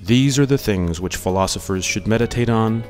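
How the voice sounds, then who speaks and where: A man with a fairly low voice reads philosophy aloud. His delivery is deliberate and measured, in the style of a radio monologue. Music plays clearly behind him. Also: darkish tone. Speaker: audiobook reader. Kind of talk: scripted dramatic reading of philosophy over music.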